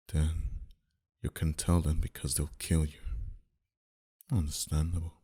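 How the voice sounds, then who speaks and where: A man playing a smug, flirtatious and menacing mafia boss in an intimate ASMR roleplay, dominant and teasing, sometimes dropping to a breathy whisper. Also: heavily acted. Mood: taunting, then intrigued. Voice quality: very deep, dark, husky.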